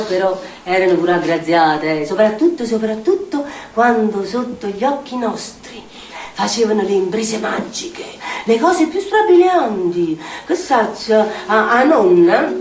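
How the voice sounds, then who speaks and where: Superstitious neapolitan backstreet woman telling tales on stage, voice swoops wildly, roomy and a bit far off. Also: high voice. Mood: incantatory, marvelling. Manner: theatrical, incantatory, repeating phrases for emphasis. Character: volatile, superstitious Neapolitan storyteller of the poor backstreets. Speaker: stage actress. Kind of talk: theatrical monologue in Neapolitan dialect. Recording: roomy stage recording, distant, faint noise.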